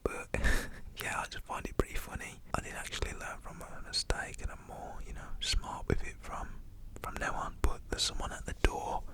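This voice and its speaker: Whispering young man. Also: normal speed. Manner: whispering throughout, frequent fillers like "you know" and "literally". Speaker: storyteller. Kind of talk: whispered personal storytelling.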